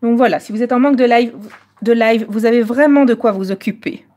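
A Belgian woman hosting a live coloring stream, chatting casually and moving between French and slightly halting English. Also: a little high, a little mellow.

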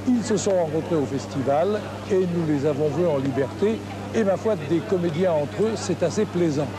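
A middle-aged man presenting a film festival TV report outdoors, in a measured scripted manner. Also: a little high, lively intonation, a loud crowd. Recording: noisy 1980s TV tape.

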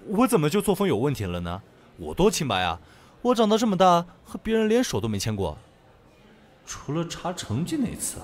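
A man's voice: on the high side, wildly swooping pitch, a little fast. A man playing a dramatic, lovestruck young male student who protests theatrically in an audio drama. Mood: protesting. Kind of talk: amateur Chinese audio drama acting.

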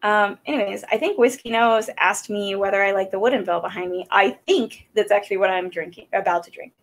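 A woman's voice: mid-range voice, a little fast. Chatty woman hosting a whiskey livestream. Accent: Southern American. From South Carolina. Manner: chatty and rambling, with many fillers. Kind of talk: casual live-stream chat. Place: small home room indoors. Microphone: desk microphone on a boom arm.

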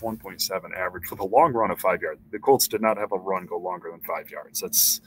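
A man in his thirties talks through a postgame recap over a headset mic, loose and conversational, firing off numbers and restarting sentences. His voice sits a little low but sounds bright and forceful. Faint room ambience sits behind him. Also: slight background noise. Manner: conversational, rattling off stats with restarts. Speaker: sports beat reporter. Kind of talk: casual postgame live stream. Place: small indoor room.

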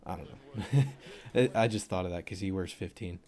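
A young man with a cold and a voice deeper than usual, casually rambling through a football film breakdown with plenty of fillers. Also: normal speed, mellow. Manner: rambling, offhand, thinks aloud with many fillers.

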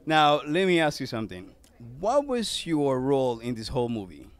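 A man's voice: medium pitch, big pitch swings, a little slow, clean tone. A middle-aged man hosting red-carpet interviews in a playful, joking way on a handheld microphone. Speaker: TV host interviewing guests. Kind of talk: red-carpet interview, switching English and Spanish. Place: indoor event lobby.

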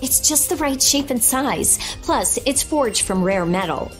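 Brisk, animated young woman. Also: high voice, faint music behind. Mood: brisk, impatient. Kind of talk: video game voice acting.

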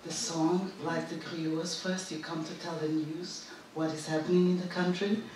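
A woman moderating a stage talk, hoarse with her voice nearly gone. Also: fairly low pitch, little pitch movement, normal speed, darkish tone, far from the mic, a little hiss or hum. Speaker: host and moderator. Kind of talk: stage conversation. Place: large theater stage with live audience. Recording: echoey stage, picked up from afar.

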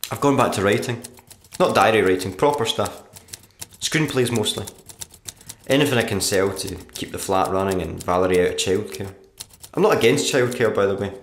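A low-pitched male voice from an actor plays a weary struggling writer in a radio play. He delivers his diary in a dry, morbid deadpan. The sound is roomy, with a noticeable echo. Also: moderate pace, a little hiss or hum, natural voice. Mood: matter-of-fact. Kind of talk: audio diary monologue, radio play acting.

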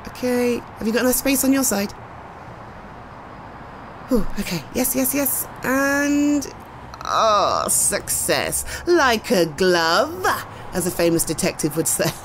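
A woman's voice: fairly high pitch, slow, slight background noise, poor audio. A British woman acting a cute, coaxing, easily flustered girlfriend in a casual one-sided roleplay conversation. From United Kingdom. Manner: cute, coaxing, giggly, expressive. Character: playful, eager, easily flustered girlfriend talking to partner. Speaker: roleplay voice performer.

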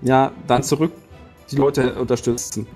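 A man's voice: mid-range voice, fairly even intonation, normal speed, a little mellow, faint music behind. A man playing a tabletop game, working out a battle plan aloud and restarting his sentences as he thinks. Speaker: player. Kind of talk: tabletop strategy discussion.

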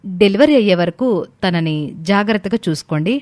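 A woman playing a calm, reassuring doctor in a family story, measured in manner. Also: mid-range voice, dry recording, close to an everyday voice.

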